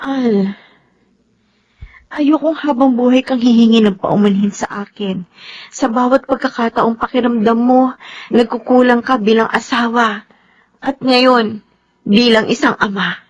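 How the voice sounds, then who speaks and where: A woman's voice: on the high side, steady tone, unhurried, long pauses, highs cut off. A woman acting in a Filipino radio drama as an anxious, emotional young soldier's wife and mother, with a Filipino accent. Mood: earnest, resigned. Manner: tearful, pleading, scolding.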